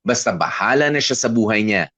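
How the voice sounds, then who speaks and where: A man's voice: lowish voice, animated, brisk, pushed and bright. An actor narrating as a young man recounting his breakup in a radio drama, candid and weary. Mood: reflective.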